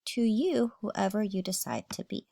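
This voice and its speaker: A woman's voice: medium pitch, normal speed, creaky, dark tone, dry recording, studio quality. A woman teacher reading a children's story aloud expressively, addressing young listeners.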